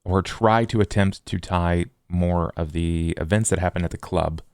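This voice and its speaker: A man with a very deep, gravelly voice in a dry, close recording. He is a tabletop player reasoning aloud in careful, halting phrases. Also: fairly even intonation, a little mellow, no echo. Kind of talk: casual game discussion.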